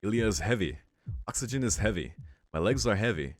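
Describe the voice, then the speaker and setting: A man with a fairly low voice reads game narration aloud and drops in casual comments. The sound is close and very dry. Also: expressive, a little fast, dry recording. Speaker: let's play host. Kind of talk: reading visual novel narration aloud with casual asides.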